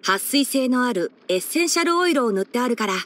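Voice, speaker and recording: A woman voicing a boyish young male fox-eared forest ranger and scholar in an anime game, instructive and frank with a gently teasing manner. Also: a little high, lively intonation, fast, close to an everyday voice. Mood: helpful, composed. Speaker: character voice actor. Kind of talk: anime game character voice lines.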